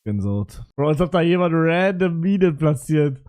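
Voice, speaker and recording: Excitable male streamer from Luxembourg, high and very lively voice, casual gaming chat. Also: normal speed. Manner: excitable, yelps and shouts in bursts.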